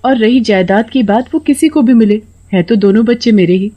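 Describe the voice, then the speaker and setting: A woman voicing a loving but easily stern young mother in a cartoon story, earnest and expressive. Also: on the high side, brisk, lo-fi sound, voice pushed up, natural voice. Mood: earnest, affectionate.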